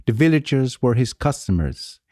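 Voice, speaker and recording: A man narrating a folk tale as a storyteller, measured and theatrical, with deliberate pauses. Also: medium pitch, sing-song, warm tone, no room sound, clean studio sound. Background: music under the voice.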